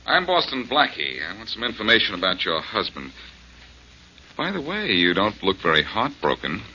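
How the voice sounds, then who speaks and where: An actor playing a cool, wisecracking male detective in a 1940s radio drama, speaking in a dry, mocking, unhurried way. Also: lowish voice, wildly swooping pitch, pushed and bright, slight background noise, heavily acted. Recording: muffled old radio broadcast.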